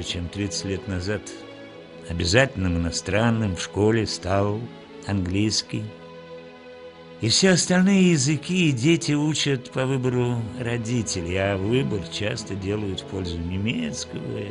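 Low gravelly guy doing documentary voice-over, music going under him. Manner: measured, formal delivery. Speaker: documentary narrator. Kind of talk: scripted documentary narration. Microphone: studio voice-over.

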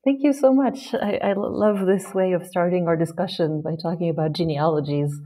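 Woman guest from French Polynesia, lowish mellow voice, measured and articulate. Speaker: literature professor, guest. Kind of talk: interview answers.

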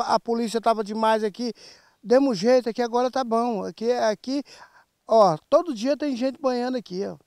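A man's voice: very high voice, darkish tone, smooth, no room sound, studio quality. A Brazilian man talking casually in an interview, plain-spoken and colloquial, repeating himself, into a handheld microphone outdoors. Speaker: neighborhood association president. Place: outdoors in a grassy clearing. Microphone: handheld reporter microphone.

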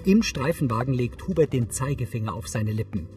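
A man's voice: mid-range voice, expressive, brisk, far from the mic, music in the background. A man reading scripted audio description, narrating on-screen action in the present tense.

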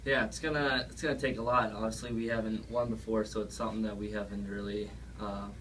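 Young man, college player, casual interview answers, lowish pitch, faint noise. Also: little pitch movement, fast, fairly bright tone. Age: early 20s. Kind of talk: post-game interview answers. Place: small press room, at a table. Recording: slightly noisy, distant microphone.

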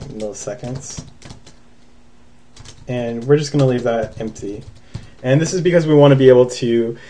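Young man, unhurried casual tutor, soft mellow tone, faint typing behind. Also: mid-range voice, a little hiss or hum. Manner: casual, thinking aloud with many ums. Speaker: programming tutorial host. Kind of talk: screencast coding tutorial.

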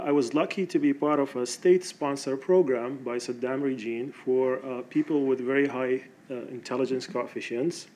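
A man in his thirties from Iraq tells his own story at a podium in an auditorium. He pauses a lot with "uh" and "um" in a mid-pitched voice. A faint hiss of room noise sits under him. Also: darkish tone. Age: 30s. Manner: halting, with frequent "uh" and "um". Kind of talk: personal story told on stage.

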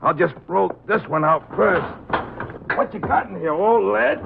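Gravelly, surly radio thug. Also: male voice, high-pitched, unhurried, noisy background, full character voice. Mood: gruff, wary. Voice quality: gravelly, husky. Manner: terse, tough. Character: big, menacing, surly male crook. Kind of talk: 1950s radio drama acting. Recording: dull 1950s radio recording.

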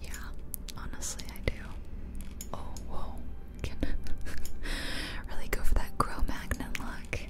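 Female ASMR creator: soft breathy whisper, close-miked, unhurried, casual thinking-aloud commentary over a game. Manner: unhurried, murmuring thoughts aloud. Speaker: ASMR video creator and gamer. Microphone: close ear-to-ear ASMR microphone.

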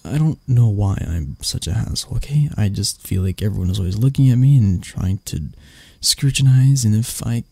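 Man playing grumpy proud male CEO, ASMR roleplay, husky breathy voice, very close dry sound, sardonic, secretly vulnerable. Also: on the low side, animated, normal speed, few pauses, dark tone, no room sound, heavily acted. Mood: apologetic, vulnerable. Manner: curt, sardonic, stammering when flustered. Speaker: voice actor. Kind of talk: ASMR roleplay audio drama.

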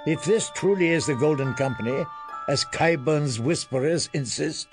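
A man voicing a conciliatory, deliberate older lord regent in a fantasy audiobook. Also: on the high side, over music, close to an everyday voice. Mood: conciliatory, cautious. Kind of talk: audiobook character voice.

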